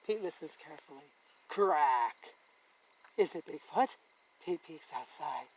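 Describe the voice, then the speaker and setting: A woman hosts a children's read-aloud. She reads at a slow, deliberate pace with lively, expressive intonation, as if showing each thing to young listeners. There is some background noise in the recording. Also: sits low. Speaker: story time host reading a picture book aloud.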